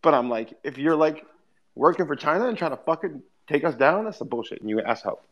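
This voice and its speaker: Fast-talking animated young man. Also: fairly high pitch, dull audio. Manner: animated, swears freely, puts on excited voices when quoting. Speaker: podcast co-host. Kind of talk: casual chat.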